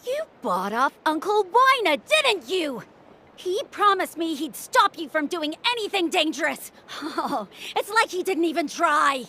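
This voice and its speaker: An actress playing a fussy, exasperated younger sister and dutiful young doctor in a video game, scolding and emphatic. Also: female voice, very high-pitched, very animated, measured pace, pushed and bright, slight background noise, full character voice. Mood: indignant.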